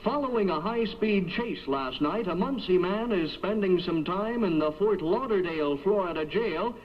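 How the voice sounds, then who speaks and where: A man reading a scripted 1970s radio newscast as the anchor. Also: high-pitched. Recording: dull vintage AM radio aircheck.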